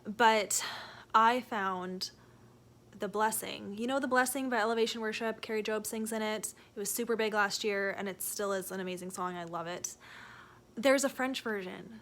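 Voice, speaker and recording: Bright, chatty Canadian young woman. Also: mid-range voice. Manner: chatty, rambling with fillers and self-corrections. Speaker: book vlogger. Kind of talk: casual reading vlog chat. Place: home, seated in a rocking chair.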